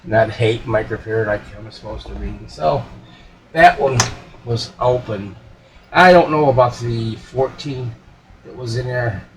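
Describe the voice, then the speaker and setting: A man's voice: medium pitch, a little slow, slight background noise, poor audio. A man giving casual, unscripted commentary as a repair hobbyist, folksy and muttering to himself.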